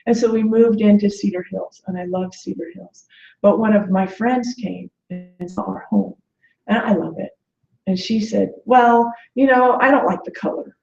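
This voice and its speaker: A woman's voice: mid-range voice, steady tone, normal speed, gravelly, a little mellow, slight background noise. A woman in her late forties speaking as a webinar guest in a conversational, motivational coaching style, earnestly illustrating her points with quoted remarks. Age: late 40s. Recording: muffled, echoey webinar audio.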